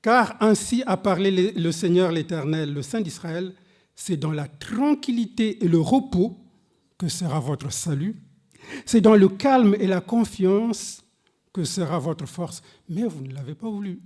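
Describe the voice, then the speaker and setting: Male preacher, non-native French speaker, high voice, unhurried and emphatic sermon delivery. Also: expressive, darkish tone. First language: a language other than French. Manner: slow, emphatic preaching with dramatic pitch swings, reading scripture aloud; addresses the congregation directly. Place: church service.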